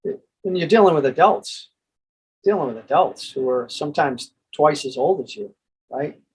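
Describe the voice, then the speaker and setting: A man with an American accent and a husky voice, presenting in an informal monologue and reading from a book. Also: on the high side, lively intonation, normal speed, poor audio. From United States. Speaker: presenter and reader.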